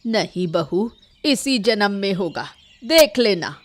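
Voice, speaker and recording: A woman's voice: mid-range voice, sing-song, takes it slowly. A woman voicing a stubborn, defiant old village mother-in-law in a cartoon story.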